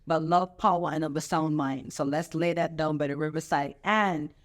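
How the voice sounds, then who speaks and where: Animated woman pastor giving advice. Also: low-pitched, moderate pace. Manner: lively, coaching, repeats phrases for emphasis; preacherly scripture quoting. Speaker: pastor and show host. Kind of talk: casual advice chat.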